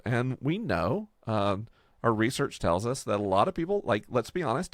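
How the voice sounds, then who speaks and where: A man's voice: mid-range voice, no room sound, clean studio sound. A man talking casually and conversationally as a podcast host, explaining his project. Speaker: podcast host and game creator.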